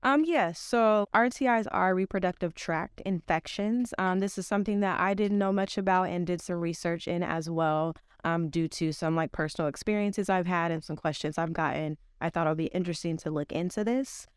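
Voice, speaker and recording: A woman's voice: fairly low pitch, normal speed, few pauses, clear, resonant tone, no echo, clean studio sound. A young woman, a health educator, speaking on a podcast in a thoughtful way, with many fillers. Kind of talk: informational podcast talk.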